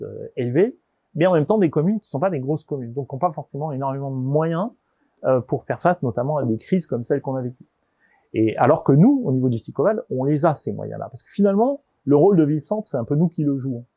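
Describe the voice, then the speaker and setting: A man's voice: medium pitch, dark tone, no echo. A man giving informal interview answers as a local government executive, often hesitating and repeating words as he searches for phrasing.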